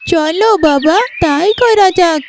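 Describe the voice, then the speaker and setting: A woman's voice: very high voice, slow pace, a little hiss or hum, exaggerated voice. A woman voicing an eager, devoted young village girl in a cartoon.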